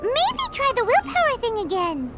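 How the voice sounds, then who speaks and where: A woman's voice: huge pitch swings, moderate pace, exaggerated voice. A woman voicing a tiny, chirpy female fairy companion who refers to herself in the third person, in a video game with music behind. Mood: playful. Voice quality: squeaky, talks about herself in third person.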